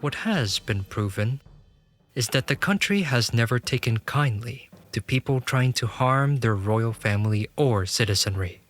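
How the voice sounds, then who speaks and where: A man delivers scripted narration for a fantasy audio drama. His voice sits at a middle pitch, and music plays clearly underneath. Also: expressive, a little slow, a bit bright.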